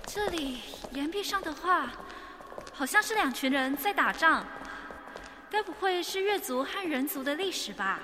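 High-pitched clever young adventurer. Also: female voice, expressive, normal speed, noisy background. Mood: awed, curious. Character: clever eager young female adventurer, inventor of schemes. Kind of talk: Mandarin audio drama acting.

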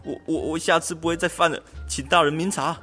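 A young man plays a cheeky, lazy clerk of ancient China, fretful and exclamatory, with a fairly high male voice. It is amateur radio drama with music underneath. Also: fairly even intonation, quick pace, bright, forceful tone. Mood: apologetic. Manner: exclamatory, pleading. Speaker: student voice actor in a radio drama.

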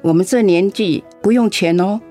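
A woman's voice: medium pitch, moderate pace, dark tone, faint music behind, natural voice. An actress playing a chatty, frank and caring elderly neighbour woman in a radio drama. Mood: concerned.

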